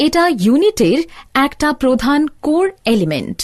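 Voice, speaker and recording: A woman's voice: high voice, very animated, moderate pace, dry recording, studio quality. A woman reading a scripted instructional narration as a tutorial narrator.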